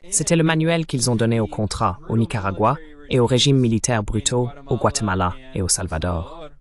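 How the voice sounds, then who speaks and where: A man giving measured, didactic political commentary as a dubbed interview guest, stressing his key points. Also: medium pitch, lively intonation.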